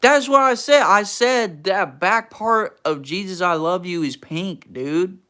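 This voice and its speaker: A man's voice: high voice, slow pace, sharp tone, smooth. A young man from Texas, hosting a casual livestream and answering chat in a laid-back, slangy, teasing way. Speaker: livestream host reading chat.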